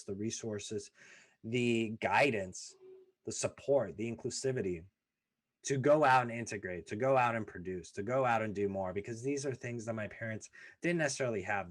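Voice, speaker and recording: A man in his thirties hosting a podcast, telling his family's immigration story in an earnest, emphatic way, stacking lists and repeating phrases for weight. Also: fairly low pitch. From former Soviet Union, raised in the US. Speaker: podcast host. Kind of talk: personal storytelling monologue.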